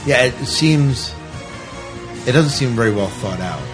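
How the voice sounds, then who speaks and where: A man's voice: medium pitch, lively intonation, measured pace, over music. A man co-hosting a podcast, chatting casually about sci-fi news. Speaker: podcast co-host.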